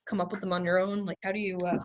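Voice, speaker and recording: A woman's voice: lowish voice, level tone, racing, lo-fi sound. Casual, hesitant woman hosting. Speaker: event host and interviewer. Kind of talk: casual chat.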